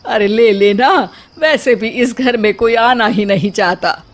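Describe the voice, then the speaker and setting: A woman plays a middle-aged mother-in-law in a comic family story. She is fearful and fretful, with a fairly high voice and slow, melodramatic, complaining delivery. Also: close to an everyday voice. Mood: nervous.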